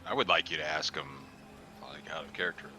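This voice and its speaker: A man's voice: very deep voice, fairly quick, sharp tone, slight background noise. A man playing a tabletop game, talking casually at the table in a blunt, insistent way.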